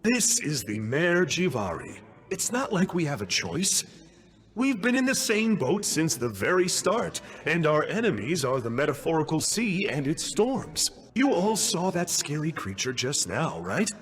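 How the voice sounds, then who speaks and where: A man voicing a smug, self-important youthful clerk in a video game, wordy and pompous in his theatrical delivery. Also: fairly high pitch.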